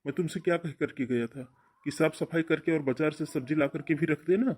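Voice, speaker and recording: A man's voice: fairly high pitch, fairly quick, a little mellow, natural voice. A man playing a stern, domineering older brother in a cartoon family melodrama, scolding and giving orders. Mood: angry, commanding. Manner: scolding, commanding.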